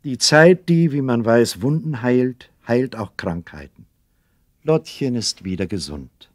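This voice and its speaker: A male narrator tells a scripted radio play story. His mid-pitched voice is measured and literary, recorded dry. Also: expressive, no echo.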